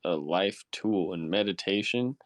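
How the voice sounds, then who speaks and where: A man's voice: low voice, a little slow, no echo, clean studio sound. A man co-hosting a podcast in casual conversation. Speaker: podcast co-host. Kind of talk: casual chat.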